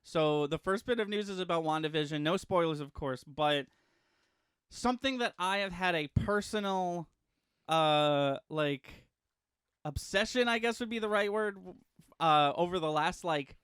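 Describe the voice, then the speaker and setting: Excitable man chatting on a podcast. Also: high voice, unhurried, clean tone, dry recording, studio quality. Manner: excitable, rambling, laughs often. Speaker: podcast co-host. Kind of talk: casual chat.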